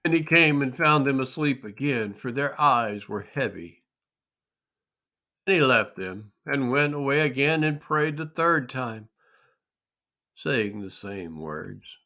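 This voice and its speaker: Bright-voiced man reading scripture, slow and solemn. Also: medium pitch, lively intonation. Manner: solemn, theatrical, deliberate delivery. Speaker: scripture reader. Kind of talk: dramatic scripted Bible narration, archaic English.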